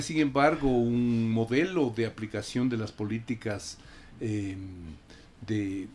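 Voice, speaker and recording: Halting male radio host, noisy recording. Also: mid-range voice, darkish tone. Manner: hesitant, halting, courteous. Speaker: radio program host and interviewer. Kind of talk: academic radio interview.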